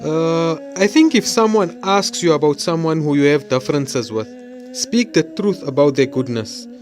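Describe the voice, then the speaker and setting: A man's voice: a little high, animated, moderate pace, natural voice. A man playing an earnest, concerned young student in an audio drama. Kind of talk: scripted audio drama acting.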